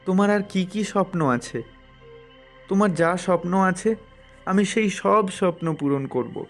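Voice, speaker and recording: Cartoon lead: man as earnest, wistful young man, slightly high pitch, music underneath. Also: moderate pace. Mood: tender, resolved. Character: earnest, dreamy young man devoted to a letter. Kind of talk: Bengali cartoon moral story dubbing. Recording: music behind the voice.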